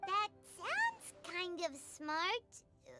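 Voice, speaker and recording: Fantasy game role: sweetly innocent, earnest girl, very high, bouncy delivery. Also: wildly swooping pitch, takes it slowly, loud music behind the voice, exaggerated voice. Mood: curious. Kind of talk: video game voice acting.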